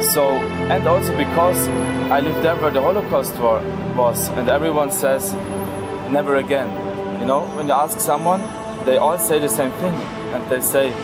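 A young man in his late teens from Austria, giving earnest answers in an interview. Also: mid-range voice.

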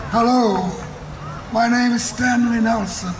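An older man giving a short spoken greeting in a slow, measured way. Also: very high voice, fairly even intonation, reverberant, far from the mic, a crowd in the background, noisy background.

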